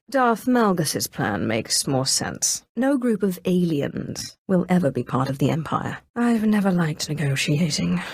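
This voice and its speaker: A woman's voice: on the low side, slightly rough, no echo, clean studio sound, heavily acted. A woman voicing a haughty, ruthless Sith sorceress lord in a video game, with imperious, coldly menacing delivery. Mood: defiant, displeased. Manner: imperious, cold, menacing, deliberate diction. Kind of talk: dramatic video game voice acting.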